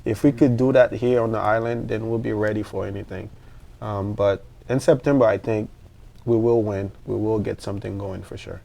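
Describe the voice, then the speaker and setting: A man's voice: on the low side, steady tone, normal speed, vocal fry, mellow. A man, a national team football player, talking earnestly and searching for words in a radio sports interview.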